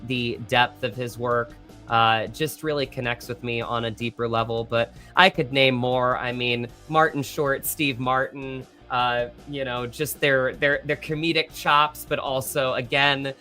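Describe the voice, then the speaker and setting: Bright-voiced man, an earnest interview guest. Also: medium pitch, fairly even intonation, soft background music. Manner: earnest, halting and reflective, searching for words. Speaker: guest, actor. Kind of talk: casual interview talk.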